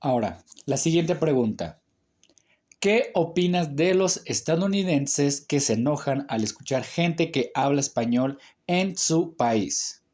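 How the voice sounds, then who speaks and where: A man's voice: a little high, lively intonation, a little slow, a little mellow. A man acting as interviewer, reading out questions in a brief, formal way.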